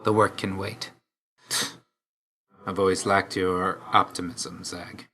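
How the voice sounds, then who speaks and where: An actor playing an aloof, weary young god of death in a video game, speaking in a restrained, clipped and solemn way. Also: male voice, low-pitched, little pitch movement, slow, bright, forceful tone, full character voice. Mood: reserved, grudging.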